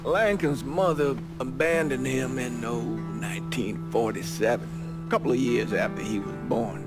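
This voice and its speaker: An actor playing an older Southern priest, speaking reflectively and sorrowfully, as in a documentary interview. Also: male voice, medium pitch, a little slow, close to an everyday voice. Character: regretful older Southern priest recalling an orphan boy. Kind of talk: documentary interview testimony, acted. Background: loud plucked guitar music.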